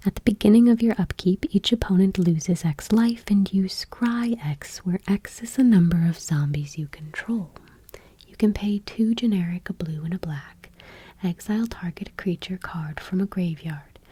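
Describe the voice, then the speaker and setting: A woman host speaking in a soft, breathy whisper, gently and without hurry, reading text aloud in ASMR style. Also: medium pitch, moderate pace, no echo.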